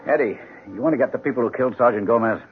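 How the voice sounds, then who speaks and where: A man's voice: mid-range voice, racing, husky, soft background music, close to an everyday voice. An actor playing a hard-nosed American police lieutenant in a radio drama, curt, clipped and pressing with his questions. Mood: grim. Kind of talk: 1970s radio drama acting. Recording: tinny, hissy 1970s radio broadcast.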